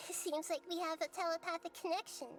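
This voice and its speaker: Serene child goddess, high voice, soft-spoken, measured, formal, solemn game dialogue. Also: female voice, steady tone. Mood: kindly. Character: gentle, wise young girl deity, serene and solemn. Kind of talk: video game voice acting.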